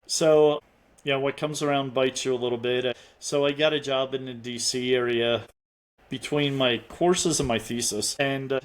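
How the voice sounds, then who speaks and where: A man's voice: medium pitch, fairly even intonation, moderate pace. A middle-aged man from Pennsylvania with a Philadelphia accent, talking casually as a podcast guest and rambling with restarts. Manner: rambling, with frequent restarts and fillers. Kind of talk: casual interview conversation.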